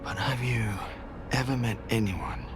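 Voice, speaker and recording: Solemn video game prophet, played by a man: very slow, hushed, breathy, philosophical, over loud ominous music. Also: mid-range voice, close to an everyday voice. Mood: brooding. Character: mysterious solemn male stalker cult prophet. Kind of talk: video game cutscene acting. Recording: loud eerie music behind.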